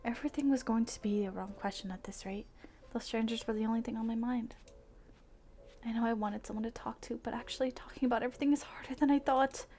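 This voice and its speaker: A woman livestreaming visual novels, switching between excitable gushing reactions and expressive read-aloud narration. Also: a little high, fast. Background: game music.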